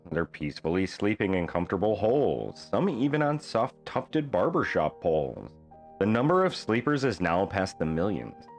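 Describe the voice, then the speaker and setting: Man, children's storybook narration, lively intonation, theatrical, deliberate phrasing, faint music behind. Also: on the low side. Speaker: storybook reader. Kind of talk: expressive reading of a rhyming children's bedtime story.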